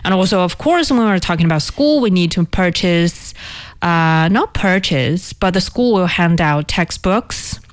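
A middle-aged woman from northern China with a Chinese accent, who hosts a language podcast and explains words slowly and clearly in casual chat. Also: on the low side, lively intonation, dry recording, clean studio sound. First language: Mandarin Chinese.

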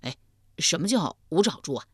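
A woman voicing a kind-hearted, earnest young nobleman who speaks gently. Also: deep voice, big pitch swings, normal speed, breathy edge, no echo, studio quality, heavily acted. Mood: curious.

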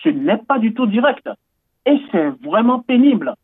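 High-voiced man on a tinny line. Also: very animated, moderate pace, husky, no echo. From Benin. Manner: earnest, enthusiastic, with frequent fillers and repetitions. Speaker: interviewee. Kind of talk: radio interview. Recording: tinny phone-like line, dry.

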